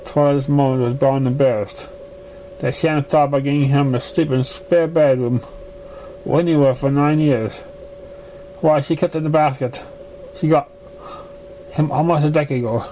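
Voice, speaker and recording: Man, story narration, relaxed dark timbre, stumbling reading, light noise behind. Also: on the high side, moderate pace, pauses often, rough recording. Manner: stumbling, misreading words. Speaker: fan fiction reader. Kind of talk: story narration read aloud.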